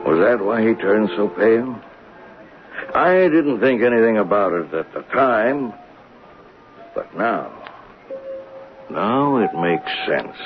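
An actor playing a talkative train conductor who recounts a murder in a folksy, musing way for a 1970s radio drama. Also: male voice, on the low side. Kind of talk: 1970s radio drama acting, first-person narration. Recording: noisy tinny narrow-band old radio recording.

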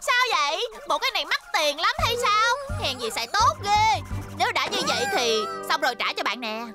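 Shrill, feisty little schoolgirl. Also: big pitch swings, normal speed, over loud music. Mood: cheeky. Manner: exclamatory, dramatic. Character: feisty, mischievous, hot-tempered little schoolgirl. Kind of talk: Vietnamese cartoon dub.

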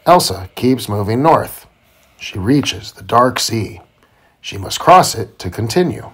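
A man reading a children's storybook aloud to his child in simple, deliberate phrasing. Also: medium pitch, sing-song, slightly rough. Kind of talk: children's read-aloud narration.

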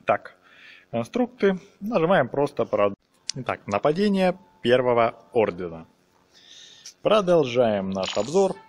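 A man's voice: medium pitch, sing-song, unhurried. A man giving a casual gameplay walkthrough, talking viewers through each step in slangy, diminutive-laden wording. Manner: talks viewers through steps, fond of diminutives and slangy words. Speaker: video game let's player.

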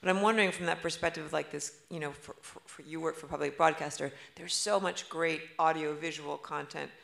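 Gravelly voiced woman asking a question. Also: sits low, fairly even intonation, brisk, fairly bright tone. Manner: casual, lots of fillers like "you know". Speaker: audience member asking a question. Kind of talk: audience question at a panel.

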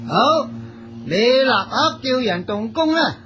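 A jolly, eccentric holy monk in a radio drama, drawling very slowly in a Hong Kong Cantonese accent. He is carefree and playful, over clear background music. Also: extremely high pitch, animated, full character voice. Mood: jolly, teasing.

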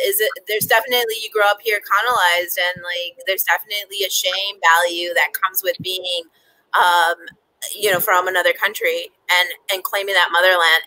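A young woman speaks on a video call in a lively way, laughing between phrases. Her voice is bright and sharp. The sound is dry and close. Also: medium pitch, no room sound, rough recording. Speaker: panelist.

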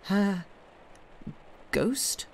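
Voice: medium pitch, animated, slow pace, slight background noise, pitched above the performer's own voice. A narrator playing a timid, browbeaten man in an audiobook, mumbling and hesitant, as if dazed. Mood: dazed, shaken. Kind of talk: dramatic audiobook character voice.

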